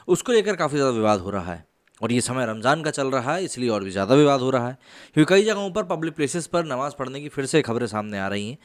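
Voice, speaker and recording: A man explaining the news as a channel host, mixing in some English words. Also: mid-range voice. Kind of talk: news explainer commentary to camera.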